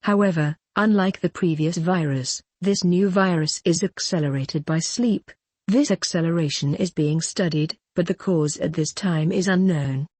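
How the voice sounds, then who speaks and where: Lowish, dry female government alert announcer. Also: darkish tone, no echo. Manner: measured, impersonal. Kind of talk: formal scripted government bulletin.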